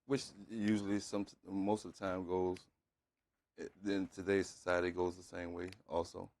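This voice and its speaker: A man with a fairly low voice and a dry sound, free of room echo. He offers conversational religious teaching in halting, short phrases. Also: level tone, a little mellow.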